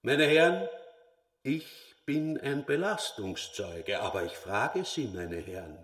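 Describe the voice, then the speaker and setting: Slow, pompous old ex-policeman. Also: fairly high pitch, distant mic, full character voice. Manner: pompous, declamatory. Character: brutal, self-important retired policeman turned caretaker. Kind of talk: German radio play acting. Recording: echoey hall, away from mic.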